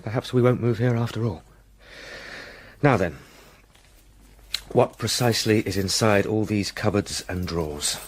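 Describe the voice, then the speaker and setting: An English actor playing an earnest, nervy young Edwardian gentleman in a gothic radio drama, well-spoken and expressive. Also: lowish voice, unhurried, long pauses, slight background noise, close to an everyday voice. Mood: self-composing, wary.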